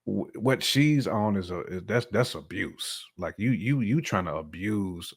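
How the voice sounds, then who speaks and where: An American man with a fairly low voice talks casually as a podcast co-host. He states opinions emphatically, circles back to repeat them and slips into imagined quotes to make his point. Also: expressive, measured pace, slightly rough. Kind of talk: casual opinionated relationship discussion.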